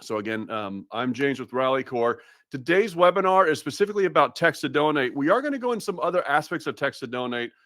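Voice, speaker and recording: A man's voice: mid-range voice, big pitch swings, quick pace, fairly bright tone, dry recording, clean studio sound. A man from Massachusetts hosting a live webinar, chatty and upbeat, with lots of fillers and restarts. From Massachusetts, USA. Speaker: webinar host.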